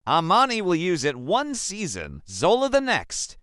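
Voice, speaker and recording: A male narrator voicing a gentle, wise old village woman in a folktale, speaking softly and in a measured way. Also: sits high, wildly swooping pitch, no echo, studio quality, exaggerated voice. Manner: soft, measured.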